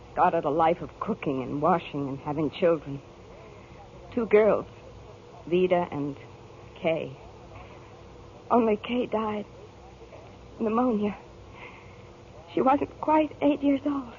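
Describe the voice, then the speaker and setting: An actress playing an anguished, protective mother in earnest, pleading 1940s radio drama style, sometimes halting with emotion. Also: on the low side, a little mellow. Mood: regretful, confessional. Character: anguished, protective mother and divorced widow, adult. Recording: tinny, noisy 1940s radio broadcast recording.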